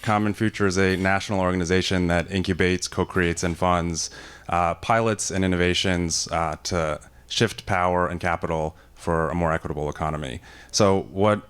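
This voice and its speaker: A man's voice: low voice, moderate pace, smooth. A man and former camp counselor speaking energetically on a conference stage with a handheld mic, describing his work on a panel. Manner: energetic, self-described loud voice. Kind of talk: conversational panel talk. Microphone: handheld microphone.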